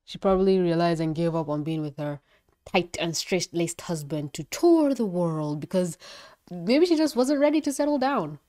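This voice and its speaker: A young adult woman reviewing a cartoon episode in casual commentary, gushing and excitable, swearing freely, sounding congested and nasal. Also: fairly low pitch, normal speed.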